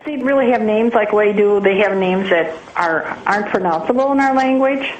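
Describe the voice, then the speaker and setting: A woman speaking as an interview guest, giving a conversational account in a hesitant, halting way. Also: medium pitch, highs cut off. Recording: echoey, noisy interview audio.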